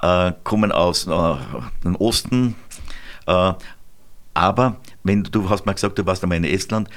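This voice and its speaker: Deep-voiced male radio host. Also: normal speed. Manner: chatty, explanatory, frequent "äh". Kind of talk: radio conversation.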